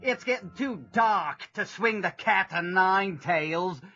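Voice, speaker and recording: An actor playing a gleefully cruel, salty British navy bosun in an audio drama, with a drawn-out, taunting delivery. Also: male voice, sits high, expressive, pushed and bright, full character voice. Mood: gloating. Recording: dull recording with music underneath.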